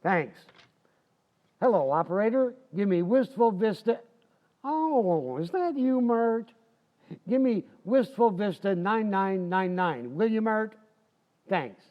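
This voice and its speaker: A man's voice: sits high, muffled recording. A man playing a stubborn, cocky, folksy husband who wisecracks in an old-time radio comedy. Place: community playhouse stage, live recreation.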